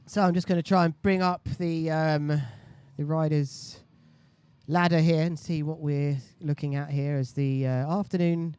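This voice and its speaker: A man's voice: a little high, steady tone, measured pace, darkish tone, clean tone. A man commentating on a live sports stream in a chatty, conversational way. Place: indoor live stream booth by a beach.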